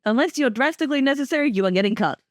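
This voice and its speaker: A woman's voice: high-pitched, expressive, very fast, no echo, studio quality. A woman giving casual episode commentary as a creator, blunt and sweary. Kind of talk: casual director's commentary chat.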